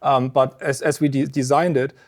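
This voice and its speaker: A man giving an informal technical explanation of a product as a company representative, measured and explanatory, pausing between phrases. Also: mid-range voice, fairly even intonation. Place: exhibit room at an audio trade show.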